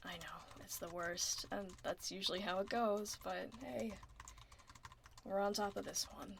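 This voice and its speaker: A woman playing a laid-back, caring tomboy werewolf office admin in a soft, casual, often breathy ASMR roleplay, close to the microphone. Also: medium pitch, noisy background. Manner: casual, teasing, reassuring. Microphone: close binaural microphone.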